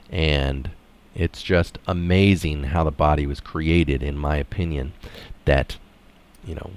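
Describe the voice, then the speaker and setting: A man reading an article aloud and adding informal, conversational comments of his own. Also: low voice. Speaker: reader and commentator.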